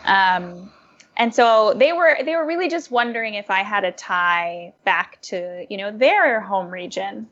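A young adult woman being interviewed, giving thoughtful, animated answers with fillers and restarts. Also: medium pitch, a little slow. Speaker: guest, former diplomat and food blogger. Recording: muffled lo-fi audio.

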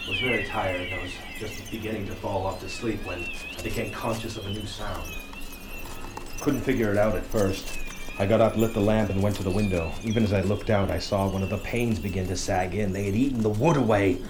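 A man's voice: lowish voice, a little fast, close to an everyday voice. An actor playing a grim, haunted lighthouse keeper, telling his story with dramatic suspense in an audio horror drama. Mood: grim recollection. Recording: echoey, noisy radio play sound.

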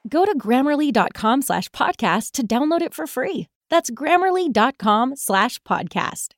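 A woman reads a scripted commercial as an announcer. Her voice is on the high side and moves with lively, animated intonation. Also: measured pace, dry recording, clean studio sound.

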